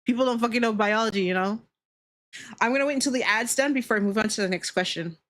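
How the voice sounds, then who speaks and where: A woman livestreamer talking casually to her viewers in an animated, opinionated, sweary way. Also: fairly high pitch, quick pace. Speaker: livestreamer hosting a Q&A.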